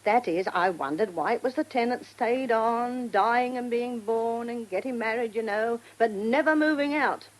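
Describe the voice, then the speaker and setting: An actress playing a talkative, inquisitive servant from the manor in a radio drama, with a working-class southern English accent. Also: female voice, a little high, moderate pace, hardly any pauses, natural voice, low-quality recording. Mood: gossipy. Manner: inquisitive, gossipy, rambling.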